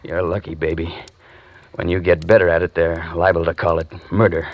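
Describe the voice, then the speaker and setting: A man's voice: low voice, little pitch movement, brisk, natural voice. An actor playing a tough, wisecracking young man who narrates his story in a 1940s radio drama, with clipped, sardonic delivery. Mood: narrating. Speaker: hard-boiled narrator and lead. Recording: dull old radio broadcast with music.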